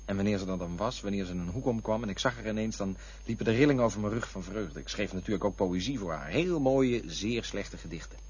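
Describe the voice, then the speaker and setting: Husky, defensive, wistful man. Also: on the low side, fast talker, few pauses, darkish tone. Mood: wistful reminiscing. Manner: touchy, drifting into lyrical reminiscence. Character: defensive, wistful man looking back on lost loves. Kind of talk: Dutch radio play acting. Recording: dull, lo-fi radio play recording.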